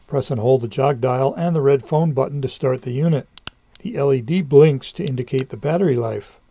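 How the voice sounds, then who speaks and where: A man's voice: mid-range voice, brisk, mellow, slightly rough, dry recording. A man presenting a scripted product review as a voice-over narration.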